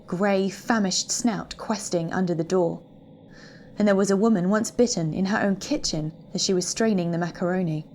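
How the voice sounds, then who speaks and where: A woman narrating a literary story in a deliberate, ominous, dramatic way, as a scripted readthrough. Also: medium pitch, darkish tone. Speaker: reader of a short story. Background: background music.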